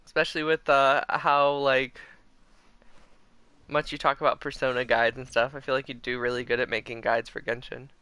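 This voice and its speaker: A man's voice: medium pitch, little pitch movement, moderate pace, bright, forceful tone, clear, resonant tone, no room sound. A man chatting casually with viewers as he streams a video game. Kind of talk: casual livestream chat.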